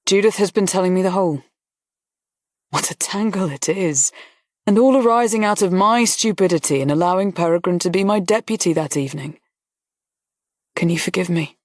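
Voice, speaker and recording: A composed, good-natured colonel, read by a woman, low-pitched and even, talking plainly and gently. The voice is close and dry, with no room sound. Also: moderate pace, pauses often, no echo, lightly acted. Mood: reassuring. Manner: pleasant, steady, gently frank. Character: kind, calm older male colonel. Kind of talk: audiobook character voice.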